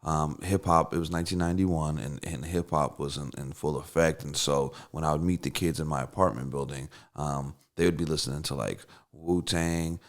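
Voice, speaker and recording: A man's voice: rumbling low, steady tone, moderate pace, few pauses. A middle-aged man from Russia whose first language is Russian, telling stories casually in an interview with lots of fillers and restarts. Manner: rambling with many fillers and restarts. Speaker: songwriter and producer guest.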